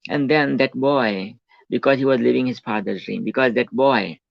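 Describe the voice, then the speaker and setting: A man's voice: mid-range voice, normal speed, vocal fry, no echo. A man in his forties with a Gujarati accent, telling his life story in a rambling talk full of fillers and restarts. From India. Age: 40s. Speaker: self-help speaker. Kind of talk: rambling autobiographical monologue. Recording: dull lo-fi dry recording.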